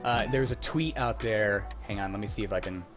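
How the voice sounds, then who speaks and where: A man's voice: fairly low pitch, a little fast, loud music behind the voice. A man hosting a casual quiz in a joking, crude manner. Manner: crude, jokey. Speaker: quiz host.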